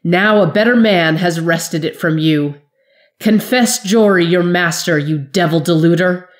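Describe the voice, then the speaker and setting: A woman voicing a fierce, proud warrior woman who shouts her defiance. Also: fairly low pitch, a little slow, close to an everyday voice. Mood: defiant, scornful.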